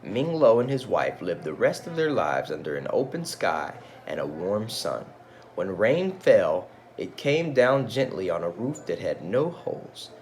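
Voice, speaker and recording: A man reading a children's story aloud in an expressive style with casual asides. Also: on the low side, a little slow, slight background noise. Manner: expressive, engaging listeners with questions. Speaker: storybook reader.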